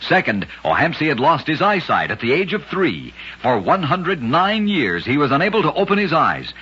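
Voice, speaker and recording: A man with a husky voice giving dramatic scripted narration for an old radio show. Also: fairly high pitch, wildly swooping pitch, moderate pace, bright, forceful tone. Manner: dramatic storytelling delivery. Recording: dull lo-fi old radio recording.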